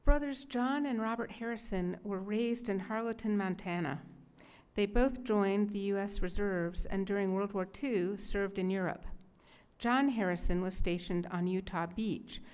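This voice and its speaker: A woman's voice: mid-range voice, a little slow, a little mellow, smooth. A middle-aged woman giving scripted narration at a podium microphone. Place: indoor museum gallery at a podium.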